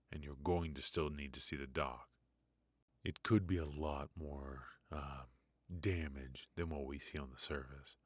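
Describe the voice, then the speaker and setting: Man playing a very deep-voiced, slow mafia boss. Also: dry recording, studio quality. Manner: murmuring, hesitant pauses, coaxing with quiet menace. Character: brilliant, ruthless male mafia boss, possessive yet protective. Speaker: voice actor. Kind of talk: noir ASMR roleplay, intimate dramatic monologue. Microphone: close studio mic, dry.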